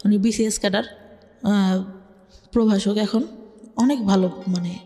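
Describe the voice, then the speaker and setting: A young woman with a Bangladeshi Bengali accent tells her life story to an interviewer, speaking softly and hesitantly with many filler words. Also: medium pitch, fairly even intonation, measured pace, in a large hall. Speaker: interview guest. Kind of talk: personal life story in conversation.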